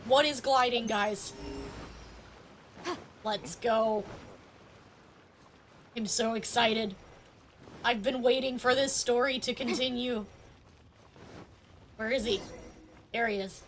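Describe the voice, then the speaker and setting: Casting: woman game streamer, fairly high voice, unhurried pace, playful off-the-cuff chat. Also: fairly even intonation, slight background noise. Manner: playful, chatty. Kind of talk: casual live-stream gameplay commentary. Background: faint game music.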